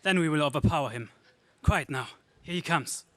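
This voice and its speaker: Guy playing earnest space hero, bright voice bit high, decisive, old radio serial acting. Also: normal speed, no room sound, natural voice. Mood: resolute. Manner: earnest, stagey. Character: brave, resolute young space hero, wholesome and decisive.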